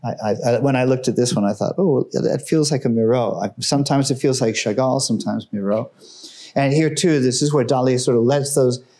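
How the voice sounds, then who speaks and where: Man, museum guide, mellow voice, middle pitch, enthusiastic, conversational, indoor gallery. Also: a little fast. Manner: enthusiastic, conversational, drops his voice for solemn works. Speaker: museum guide presenting artworks. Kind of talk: informal gallery talk.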